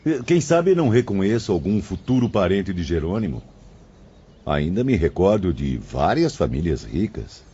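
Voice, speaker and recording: Man acting talkative male spirit, formal, animated intonation, dull audiobook recording. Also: deep voice, normal speed, breathy edge, exaggerated voice. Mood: impressed, curious. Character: curious, impressed, talkative male spirit companion. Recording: dull lo-fi audio, faint ambient noise.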